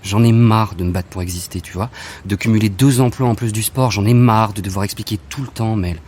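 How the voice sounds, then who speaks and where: A man's voice: fairly low pitch, a little fast, a little mellow, natural voice. A man playing a fed-up but hopeful athlete in a French audio drama, colloquial and insistent. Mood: fed up, pleading. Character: Raph, fed-up, hopeful athlete dreaming of escape. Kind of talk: naturalistic French audio fiction acting.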